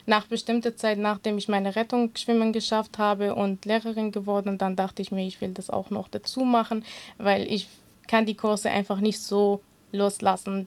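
A woman with a Kurdish accent, Kurdish her mother tongue, answers interview questions about her work. She speaks earnestly but haltingly, with many filler sounds, at an ordinary mid pitch. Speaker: interview guest, swimming instructor.

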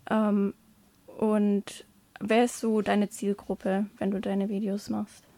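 A woman's voice: medium pitch, completely flat delivery, takes it slowly, mellow, dry recording. A woman working as an interviewer, asking questions in a conversational style.

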